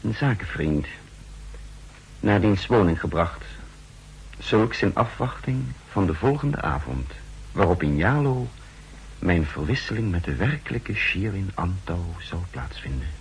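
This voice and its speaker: An actor playing a wary, contemptuous secret agent who narrates his mission in a measured, literary way in a 1960s radio drama. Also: low voice, sing-song, full character voice. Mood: grim, measured. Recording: noisy 1960s radio recording.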